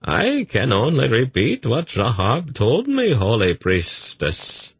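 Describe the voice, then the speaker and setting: A man voicing an unflappable, courteous, evasive slave trader in a fantasy audiobook, speaking with measured, placating deference. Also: medium pitch, wildly swooping pitch, slightly rough, dry recording, voice pushed up, full character voice. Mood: polite, mollifying. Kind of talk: fantasy audiobook character acting.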